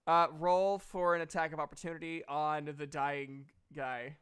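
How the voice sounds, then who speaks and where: A man's voice: fairly high pitch, little pitch movement, normal speed, a bit bright, clear, resonant tone. A man casually narrating a tabletop game as game master, swearing as he reacts.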